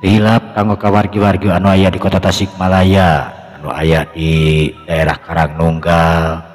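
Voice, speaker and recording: Guy telling folk tales, super deep voice, music playing behind him. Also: monotone, moderate pace. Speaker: storyteller and channel host. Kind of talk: traditional storytelling with greetings to listeners. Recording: long echoing reverb, music behind.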